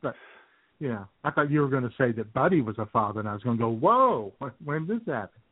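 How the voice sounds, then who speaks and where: A thin, phone-like recording carries a man's husky voice at an ordinary male pitch. He co-hosts an internet radio show, chatting casually and joking along. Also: animated, fast talker. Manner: jokey, chuckles often. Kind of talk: casual comedic banter.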